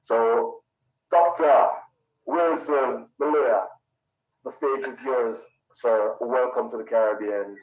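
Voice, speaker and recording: A man moderating a conference, introducing a guest speaker in a lively, playful way. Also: medium pitch, slow, far from the mic, rough recording.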